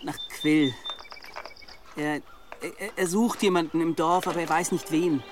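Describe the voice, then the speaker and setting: A man's voice: fairly high pitch, fairly even intonation, measured pace, a little hiss or hum, close to an everyday voice. A man playing an anxious, guilt-ridden young monk in a German radio play, hesitant and pleading. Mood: cornered, stammering.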